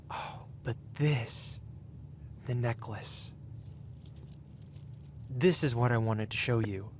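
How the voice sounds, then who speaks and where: A man's voice: medium pitch, a little hiss or hum, close to an everyday voice. A man playing a wealthy, possessive dragon patron in an intimate ASMR roleplay, speaking in a refined, teasing, purring manner. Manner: purring, teasing, elegant unhurried phrasing.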